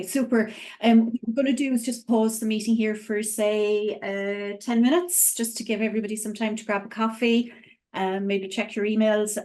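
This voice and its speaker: A woman's voice: medium pitch, a little mellow. A woman moderates an online session over a video call. She speaks in an upbeat, chatty way as she gives practical announcements to the attendees. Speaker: webinar moderator.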